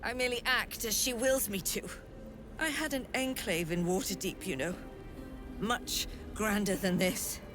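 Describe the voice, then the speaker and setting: Over a music bed comes a haughty middle-aged cult mother superior, acted by a woman, in a fantasy game. The delivery is slow, imperious and theatrical, with cold taunting menace in each deliberate phrase. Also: medium pitch, close to an everyday voice. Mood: proud, bitter. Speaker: villain in a fantasy video game. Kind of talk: theatrical video game dialogue.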